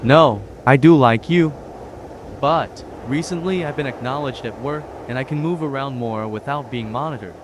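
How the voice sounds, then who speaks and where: A man's voice: medium pitch, close to an everyday voice. A man voicing a blunt, naive sixteen-year-old boy devil hunter in a manga fan dub, speaking earnestly and hesitantly. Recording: noisy track with street ambience.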